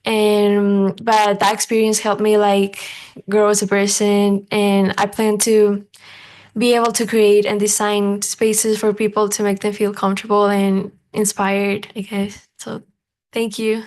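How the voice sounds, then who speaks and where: A young woman in her late teens from Ecuador gives brief remarks as a scholarship student. Her voice stays flat and level, and she hedges with small fillers. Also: mid-range voice, a little slow. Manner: hesitant, uses 'like' and 'I guess'. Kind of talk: short prepared remarks.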